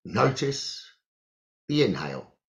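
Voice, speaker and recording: A man leading a guided meditation, giving gentle instructions in short phrases with long pauses, on a muffled recording. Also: medium pitch, big pitch swings, gravelly. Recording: muffled, dull lo-fi audio.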